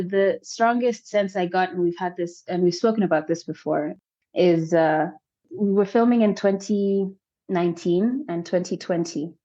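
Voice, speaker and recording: A woman's voice: mid-range voice, darkish tone, dull audio. A woman filmmaker from South Sudan answering interview questions thoughtfully, often restarting her sentences as she thinks. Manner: thoughtful, many restarts and hedges. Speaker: filmmaker guest.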